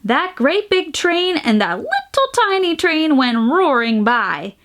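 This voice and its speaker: High, very lively woman reading to children. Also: takes it slowly, background noise. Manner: sing-song, exaggerated emphasis, playful noises. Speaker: storytime host reading a picture book aloud. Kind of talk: animated children's read-aloud with sound effects.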